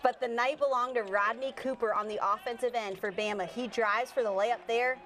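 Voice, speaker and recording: A woman with an American accent co-anchoring a sportscast, narrating game highlights with light banter. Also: fairly even intonation, fairly quick, fairly bright tone, slight background noise. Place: TV studio.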